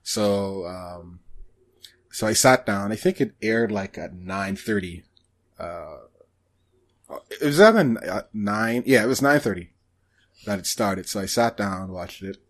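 Casual podcast co-host, male, lowish voice, hesitant and halting delivery that tends to trail off. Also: expressive. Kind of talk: casual anime discussion.

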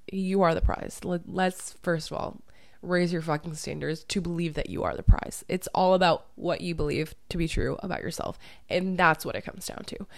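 A young woman hosts her podcast with a hoarse, croaky voice, as she has lost part of it to illness. Her tone sits low and gravelly, recorded dry and close with no room sound. She talks candidly and casually, swearing now and then. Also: no room sound. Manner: candid, swears freely, laughs easily. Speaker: podcast host and online dating coach. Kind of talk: casual solo podcast chat.

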